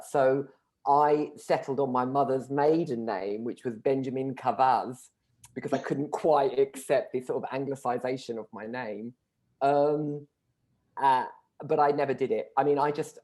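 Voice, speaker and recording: A middle-aged man, a guest speaking reflectively in an interview, pausing often with ums. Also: medium pitch, darkish tone. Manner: thoughtful, hesitant, many ums.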